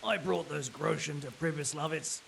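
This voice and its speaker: An actor playing a resigned, cynical captured mercenary in a video game drama, wry and matter-of-fact, with a gravelly voice. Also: male voice, fairly high pitch, moderate pace, slight background noise. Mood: cynical, frank.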